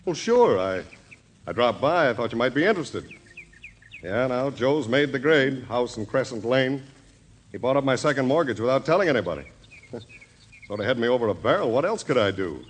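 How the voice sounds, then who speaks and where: Aggrieved, resigned American farmer, mid-range, folksy hesitations, 1940s radio style. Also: male voice, medium pitch, big pitch swings, normal speed, slightly rough. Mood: sheepish, resigned. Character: aggrieved, hapless male peach-farming neighbor, bitterly resigned. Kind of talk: 1940s radio drama acting. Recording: faintly noisy old radio recording.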